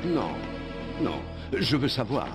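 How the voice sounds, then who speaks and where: An actor voicing a paternal, domineering man in power in a French animated series, smooth and condescending. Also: medium pitch, takes it slowly, over music. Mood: concerned, probing.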